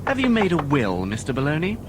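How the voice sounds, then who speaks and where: Man acting smooth-mannered, higher-pitched menacing enforcer. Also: wildly swooping pitch, a little fast, music in the background. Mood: quietly menacing. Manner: understated, insinuating. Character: Leech, menacing polite male company enforcer. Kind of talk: audio drama acting.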